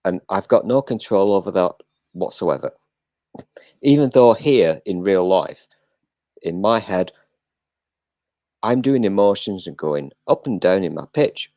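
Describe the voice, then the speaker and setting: An older man hosting a casual live chat, talking in a flat, self-deprecating way and swearing now and then. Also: low-pitched, measured pace, pauses often, no echo.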